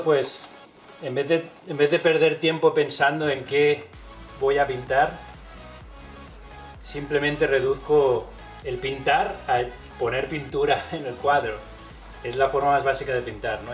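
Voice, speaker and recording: Painter, man in his forties from Spain, mid-pitched, unhurried interview talk, faint music under it. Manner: relaxed, thoughtful, with many fillers. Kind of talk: casual documentary interview.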